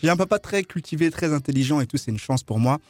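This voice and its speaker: Jokey guy from kinshasa telling funny stories, voice a bit high, dry sound. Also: animated, fast, no room sound. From Kinshasa, DR Congo; grew up in France. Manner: playful, jokey storyteller, often laughing. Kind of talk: humorous anecdotes in casual conversation.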